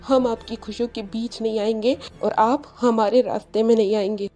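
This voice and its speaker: A woman's voice: on the high side, fairly even intonation, moderate pace, mellow, close to an everyday voice. A woman voicing a fiercely loyal, accusing young person in a dramatized audio story, emotional and confrontational. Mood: bitter, defiant. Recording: music behind the voice.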